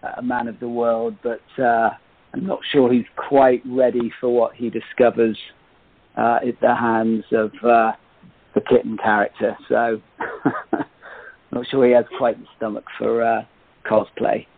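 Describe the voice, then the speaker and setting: A man's voice: mid-range voice, little pitch movement, measured pace. Male interviewee, understated, tentative delivery, thin telephone audio. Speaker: guest, play cast member. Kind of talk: casual interview chat. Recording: tinny phone line.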